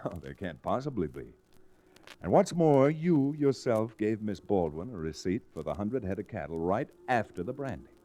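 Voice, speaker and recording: Wry, confident cowboy lawyer, lively delivery. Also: male voice, fairly low pitch. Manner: brisk, emphatic, sardonic. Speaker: lead actor and first-person narrator. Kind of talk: old-time radio Western acting.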